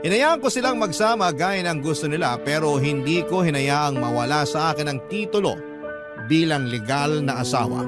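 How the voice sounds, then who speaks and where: Man, radio storyteller, fairly high voice, scripted first-person narration, loud music underneath. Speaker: radio storyteller reading a listener's letter.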